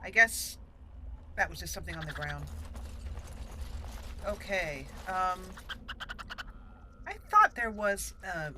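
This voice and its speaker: A woman hosting a gaming channel, chatting excitedly through her gameplay and cheering on the characters. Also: fairly low pitch, takes it slowly, pauses often. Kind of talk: casual let's-play commentary. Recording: noisy background.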